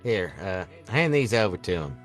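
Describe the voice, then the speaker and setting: A man's voice: low voice, big pitch swings, moderate pace, fairly bright tone, soft background music. A man playing a cocky, teasing small-town guy in a film script table read. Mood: dry, sly.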